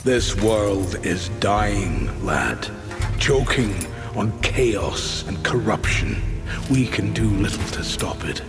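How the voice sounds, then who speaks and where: An ancient wise old maker in a game, weary n solemn, real slow, music behind. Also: male voice, on the low side, lively intonation. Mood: weary, mournful. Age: older. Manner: solemn, measured, foreboding. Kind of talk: dramatic video game acting. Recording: long cavernous reverb with music behind.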